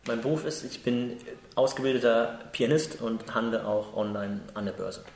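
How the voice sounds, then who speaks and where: A man's voice: medium pitch, moderate pace, vocal fry, reverberant, slight background noise. A man in his thirties giving matter-of-fact interview answers. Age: 30s.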